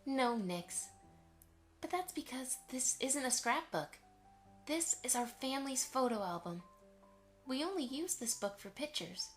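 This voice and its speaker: A woman voicing a gentle, affectionate mother pony who reminisces fondly in an amateur audio drama. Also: high voice, sing-song, unhurried, faint music behind. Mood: patient, warm. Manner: affectionate, storytelling to a child.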